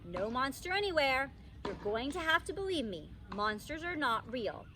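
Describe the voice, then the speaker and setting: A woman voicing a tired, firm, grouchy father from a children's storybook, speaking in a slow, weary manner. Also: sits high, big pitch swings. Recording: distant microphone, faint background noise.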